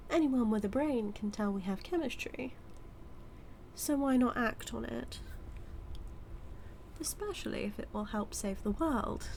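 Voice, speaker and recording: Woman to act British villainess for intimate audio drama; unhurried, smug, seductive teasing of a superhero rival, light ambient noise underneath. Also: fairly high pitch, creaky, a little mellow. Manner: teasing, sly, flirtatious, drawn-out delivery. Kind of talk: intimate audio roleplay monologue.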